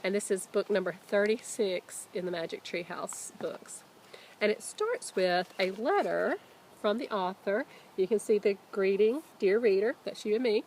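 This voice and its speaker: A woman's voice: medium pitch, normal speed, darkish tone, slight background noise. Woman reading a children's story aloud. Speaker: teacher reading aloud to pupils.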